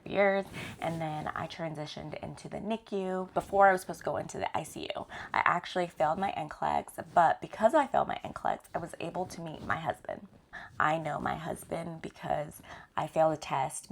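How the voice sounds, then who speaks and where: Woman, 30s, casual vlogger, lowish gravelly voice, chatty with fillers. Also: a little hiss or hum. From born in Zimbabwe, raised in Texas, US. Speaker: vlogger, former nurse. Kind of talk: casual storytelling to camera.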